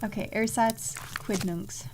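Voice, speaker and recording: A woman's voice: mid-range voice, steady tone, slow pace. A woman streaming a puzzle game with casual commentary, thinking aloud and musing to herself as she plays. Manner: musing to self, reading clues aloud. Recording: music playing behind the voice. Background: game music.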